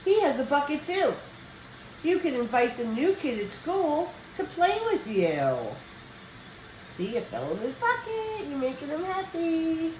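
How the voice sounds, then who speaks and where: An older woman reading a children's picture book aloud as a storyteller, with expressive, deliberate phrasing for young listeners. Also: high voice. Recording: roomy echo with background noise.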